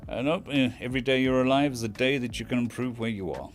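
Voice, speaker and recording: A man's voice: mid-range voice, fast talker, faint music behind. A man from South Africa with a South African accent tinged with British tones, chatting casually on a stream and thanking viewers by name. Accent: South African English with British tones.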